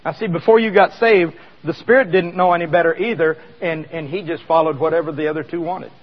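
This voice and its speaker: A fairly high-voiced man preaching in a church hall, talking to the congregation like a conversation and tossing questions at them. His voice rings with a long hall echo, and the recording sounds slightly dull and muffled. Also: steady tone, brisk. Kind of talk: sermon with live demonstration.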